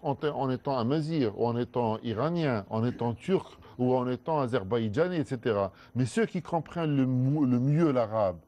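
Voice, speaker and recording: A man, a guest scholar in a studio discussion, explaining at length in a measured way with frequent restarts. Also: medium pitch, animated, warm tone.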